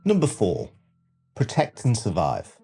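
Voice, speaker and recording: A man narrating a scripted countdown documentary with a measured, dramatic delivery. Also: lowish voice, wildly swooping pitch, gravelly, warm tone, slightly rough. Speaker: narrator and channel host.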